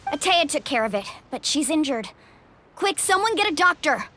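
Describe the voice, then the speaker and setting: A woman's voice: high voice, very animated, moderate pace, sharp tone, exaggerated voice. A woman playing a caring, spirited young female warrior leader in an anime-style video game. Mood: urgent, worried.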